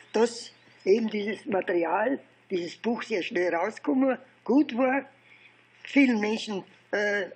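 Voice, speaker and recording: An elderly man with an Austrian accent and a husky voice, telling his recollections informally in a halting, digressive way with repeated phrases. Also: high-pitched. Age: 70s or older. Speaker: interviewee recounting political and research past. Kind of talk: informal oral history storytelling.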